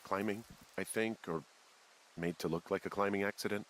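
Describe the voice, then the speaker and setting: An American actor playing a weary, uneasy fire lookout in a video game, speaking plainly in halting, muttered conversation. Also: male voice, fairly low pitch, steady tone, fairly bright tone, a little hiss or hum, close to an everyday voice, poor audio. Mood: somber, anxious. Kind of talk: naturalistic game dialogue.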